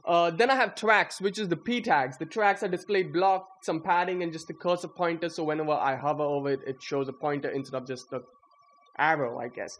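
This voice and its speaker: A young man giving a casual, slangy walkthrough of his code, excitable and self-deprecating. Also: a little high, a little fast, a little hiss or hum. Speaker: coder presenting own project. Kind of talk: casual screen-recorded coding walkthrough.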